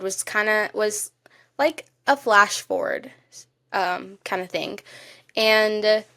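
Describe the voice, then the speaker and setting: A woman co-hosting a podcast, chatting casually in an informal, expressive and emphatic way. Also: medium pitch, dry recording, clean studio sound. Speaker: podcast co-host. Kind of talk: casual chat about TV shows.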